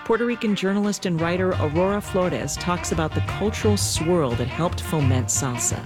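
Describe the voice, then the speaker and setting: Woman, radio host, lowish pitch, scripted narration and interview questions, faint music behind. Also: expressive, moderate pace.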